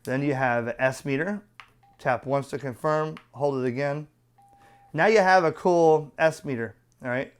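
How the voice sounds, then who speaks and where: A man's voice: on the high side, unhurried, smooth. A middle-aged man giving a casual product review in a chatty, opinionated, colloquial way. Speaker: radio reviewer.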